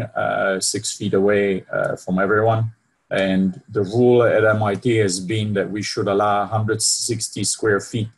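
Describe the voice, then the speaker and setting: Belgian man with French accent, gravelly. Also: lowish voice. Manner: hesitant, many fillers and restarts, keeps asking "right?". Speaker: university department head presenting. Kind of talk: online lecture presentation. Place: home room with bookshelves. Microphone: laptop webcam video call.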